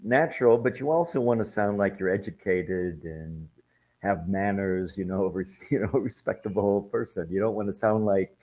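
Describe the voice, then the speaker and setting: A man's voice: fairly low pitch, moderate pace, darkish tone. An American man teaching English in a casual video-call chat, telling stories with lots of "you know.". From United States. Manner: friendly, anecdotal, many fillers. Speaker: English tutor. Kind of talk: casual conversation lesson.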